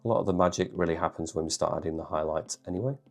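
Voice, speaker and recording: A man with a bunged-up cold voice, calmly talking through a drawing tutorial step by step. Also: low voice, rapid-fire, vocal fry, faint music behind. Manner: calm, reassuring, thinks aloud. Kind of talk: relaxed step-by-step tutorial narration.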